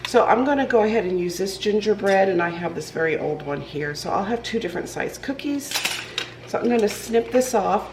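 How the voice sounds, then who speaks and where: A woman's voice: mid-range voice, a little mellow, slight background noise. A woman vlogging casually in her home kitchen, nasal from a cold, rambling with lots of ums as she bakes. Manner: rambling, with many ums and restarts. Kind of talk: casual chatty cooking vlog. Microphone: camera propped on the counter.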